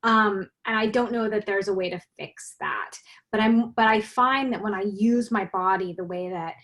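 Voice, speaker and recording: A woman's voice: medium pitch, moderate pace, fairly bright tone. Woman, student on a video call, thin voice, narrow range, rambling question. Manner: rambling, searching for words. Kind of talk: asking a question in an online class.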